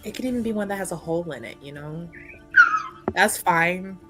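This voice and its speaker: A woman's voice: fairly low pitch, expressive, moderate pace, over loud music. A woman chatting casually as a player in a tabletop roleplaying game. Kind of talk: casual chat.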